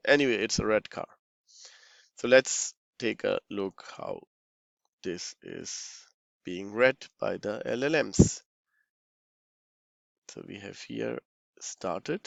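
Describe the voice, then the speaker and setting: A man giving an informal software walkthrough, halting and thinking aloud, often murmuring to himself as he works. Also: lowish voice, vocal fry, no room sound, lo-fi sound. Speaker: software tutorial instructor.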